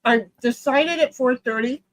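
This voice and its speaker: Woman, high pitchy voice, rambly casual gamer host chatting from home. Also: unhurried, rough recording. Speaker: streamer playing online cards with friends. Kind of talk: casual chat while gaming. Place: hot room at home.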